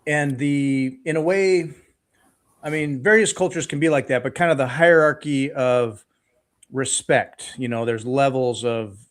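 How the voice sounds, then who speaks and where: A man hosting an interview, easygoing and folksy, talking in a relaxed way with sayings and fillers. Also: mid-range voice, a little slow. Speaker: podcast host. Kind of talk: casual interview conversation.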